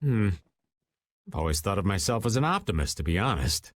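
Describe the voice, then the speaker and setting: A man's voice: fairly low pitch, very animated, brisk, no room sound. A laid-back, teasing older man. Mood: wry. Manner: easygoing, teasing drawl. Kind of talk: video game voice acting. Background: game music.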